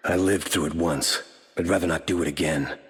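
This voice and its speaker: A man's voice: deep voice, fairly even intonation, a little fast, in a large hall, lightly acted. A man voicing a wry, battle-worn soldier hero with a metal arm in a video game, delivering dry quips.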